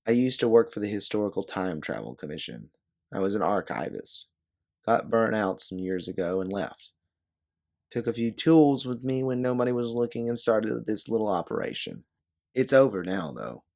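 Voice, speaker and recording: A man reads as a blunt fugitive woman in a sci-fi audio story. She is sarcastic and bitter, with a low register and big swings in pitch. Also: big pitch swings, moderate pace, vocal fry, darkish tone. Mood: weary, explaining. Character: sarcastic, embittered fugitive woman time traveler.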